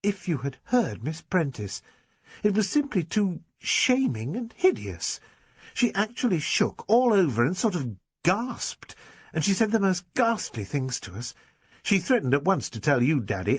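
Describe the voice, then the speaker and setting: A man's voice: high-pitched, husky, dry recording, voice pushed up, full character voice. A man reading aloud and voicing Dinah, a spirited young woman who is defiant and dramatic, in a raised, emphatic voice.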